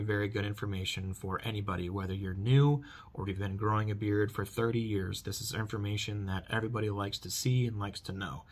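A man in his thirties giving a casual product review to camera, repeating emphatic stock phrases, recorded at about arm's length in a small bedroom. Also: lowish voice. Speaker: product reviewer, channel host. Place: small furnished bedroom, indoors.

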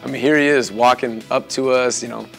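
A man's voice: mid-range voice, fairly even intonation, a little fast, vocal fry, a bit bright, faint music behind. A man talking casually in an interview.

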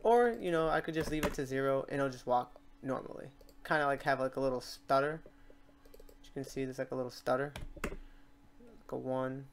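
A software tutorial host with a voice of middling pitch. The delivery is casual and unscripted, explaining each step while working and thinking aloud along the way. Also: moderate pace, long pauses, a little hiss or hum.

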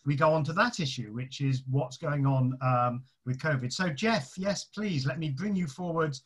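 A man, a rabbi, briskly moderating a video call and bringing people forward. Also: on the high side, breathy edge. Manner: warm-welcoming, brisk moderator. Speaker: rabbi hosting the session.

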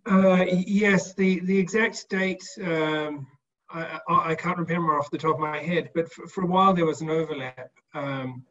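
A man speaking on an online panel, recollecting in a measured, halting way with repeated words, over video call audio. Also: a little high, steady tone, a bit bright, lo-fi sound. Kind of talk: reflective recollection in a panel discussion. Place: indoor room, video call. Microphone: video call microphone.

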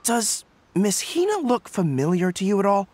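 A man's voice: high voice, very animated, moderate pace, a little mellow, no echo. A voice actor voicing a polite, curious young man who speaks thoughtfully and earnestly, in video game voice acting.

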